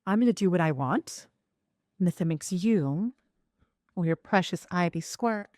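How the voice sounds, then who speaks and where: A woman's voice: lowish voice, animated, unhurried, a little mellow, dry recording, clean studio sound. A woman playing a cool, defiant, sardonic wealthy woman facing her ex in an audio drama, with a taunting manner.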